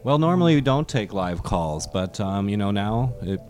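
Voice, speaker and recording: A man's voice: lowish voice, big pitch swings, normal speed, darkish tone. A man hosting a comedy podcast, dry and mock-polite as he plays the banter straight. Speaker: podcast host.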